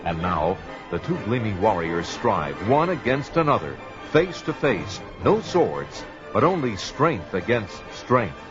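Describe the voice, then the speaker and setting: An actor playing a grandiose storyteller, giving emphatic scripted narration of a barbarian adventure in an audio drama. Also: male voice, on the low side, big pitch swings, measured pace. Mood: suspenseful. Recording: dull lo-fi audio with music.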